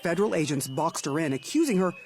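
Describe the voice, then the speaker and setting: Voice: mid-range voice, big pitch swings, fast, darkish tone. A news reporter narrating a broadcast report and questioning an interviewee.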